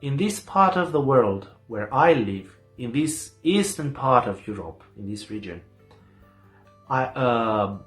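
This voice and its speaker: A young man from Romania in his twenties gives measured, reflective answers to an interviewer. A quiet guitar plays softly behind his voice. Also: mid-range voice, expressive. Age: 20s.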